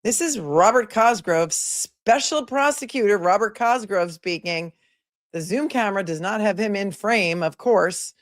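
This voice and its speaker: A woman's voice: mid-range voice, a little slow. A woman hosting a live stream, commenting on a court hearing with sarcastic, indignant remarks and thanking viewers. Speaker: livestream host and commentator.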